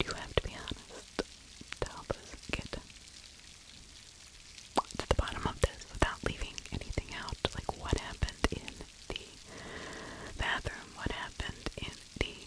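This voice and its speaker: A middle-aged woman narrating true crime as ASMR in a breathy, unvoiced whisper, close to the microphone, conversational and opinionated, with frequent pauses. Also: low-quality recording. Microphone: close microphone with furry windscreen.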